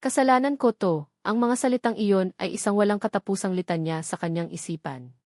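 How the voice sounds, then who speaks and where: Woman reading a dramatic romance audiobook, mid pitch voice, no room echo. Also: brisk, a little mellow, studio quality. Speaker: audiobook narrator. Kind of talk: dramatic scripted narration of a romance story.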